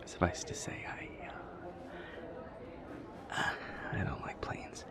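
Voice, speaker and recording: A man playing a nervous vampire boyfriend who is afraid of flying, speaking haltingly with stammers in an intimate audio roleplay. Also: whispered, natural voice. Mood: nervous, uneasy.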